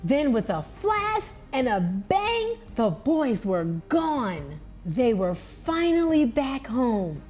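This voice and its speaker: Expressive, lively woman reading a children's story. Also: sits high, soft background music. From New York. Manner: deliberate, expressive. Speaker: children's read-aloud host.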